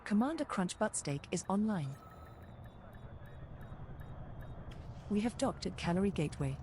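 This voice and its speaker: Mellow female spaceship co-pilot played by a woman. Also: mid-range voice, sing-song, a little fast, slight background noise, lightly acted. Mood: composed. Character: female spaceship computer co-pilot, crisp and teasing. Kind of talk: scripted game voice assistant announcements.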